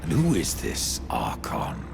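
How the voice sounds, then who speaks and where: Very deep, gravelly grim horseman. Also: wildly swooping pitch, slow pace, noisy background, heavily acted. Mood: curt, suspicious. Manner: terse, blunt questioning. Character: grim undead horseman warrior, curt and defiant. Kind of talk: video game voice acting.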